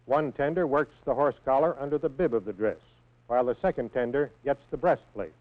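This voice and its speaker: A man reading scripted instructional narration for a training film in a measured, precise manner. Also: medium pitch, dry recording. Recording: tinny band-limited old film soundtrack.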